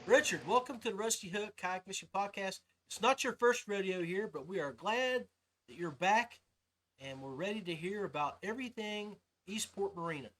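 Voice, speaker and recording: A man's voice: high-pitched, lively intonation, normal speed, fairly bright tone, poor audio. A man hosting a podcast interview in a casual, folksy and informal way. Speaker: podcast host.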